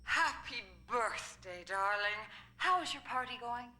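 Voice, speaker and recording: An actress playing an icy, commanding corporate boss and mother in an animated series, controlled and imperious. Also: on the high side, slow, exaggerated voice. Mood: smooth, affectionate.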